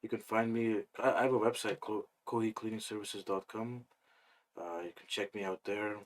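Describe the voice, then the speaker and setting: A young man from Afghanistan, a guest in a casual interview, talking in a relaxed, rambling way with many fillers. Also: fairly low pitch, moderate pace.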